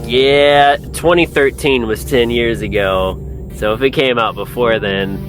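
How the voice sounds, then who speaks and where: Man, medium pitch, podcast co-host, informal banter, joking, profane, clear background music. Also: a little slow. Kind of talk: casual conversational podcast banter. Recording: music playing behind the voice.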